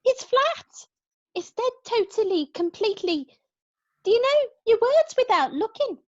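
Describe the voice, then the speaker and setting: A woman's voice: very high-pitched, very animated, measured pace, lo-fi sound, heavily acted. Woman doing a bossy little girl. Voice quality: bossy, scolding.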